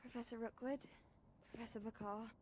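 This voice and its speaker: A woman's voice: fairly high pitch, fairly even intonation, fairly quick, noisy background, close to an everyday voice. A woman playing an earnest, curious and polite teenage witch student in a video game. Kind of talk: scripted video game cutscene acting.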